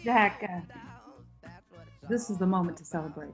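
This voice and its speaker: A woman's voice: on the low side, a little slow, soft background music, natural voice. A woman acting a caring, insistent middle-aged wife and mother in a screenplay table read. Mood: fussing, insistent.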